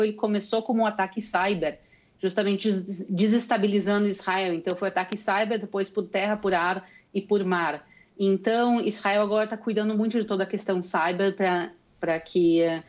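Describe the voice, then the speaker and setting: A woman's voice: medium pitch, darkish tone, dull audio. A young woman with a gaúcho Brazilian accent, earnestly explaining events as a guest over a remote call. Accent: gaúcho Brazilian Portuguese. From Rio Grande do Sul, Brazil. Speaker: guest commentator living in Israel. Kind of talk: call-in interview commentary.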